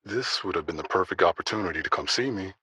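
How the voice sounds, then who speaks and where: A man's voice: low voice, animated, fast talker, bright, forceful tone, breathy edge, no room sound, full character voice. A man acting in an audio drama as a persuasive, yearning secret lover, speaking in a coaxing, intimate way. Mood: wistful.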